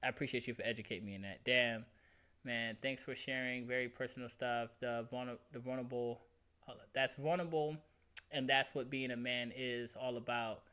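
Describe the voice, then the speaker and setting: A man's voice: mid-range voice, steady tone, a little fast, bright, forceful tone, smooth. A man in his late twenties talking casually on a livestream, candid and emphatic, reading chat comments aloud. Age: 20s. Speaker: streamer.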